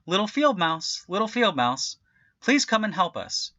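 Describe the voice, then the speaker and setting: A man's voice: high-pitched, very animated, a little slow, pushed and bright, no echo, highs cut off, voice pushed up, full character voice. A man voicing a clever, helpful female cat in a storybook, meowing and calling in singsong.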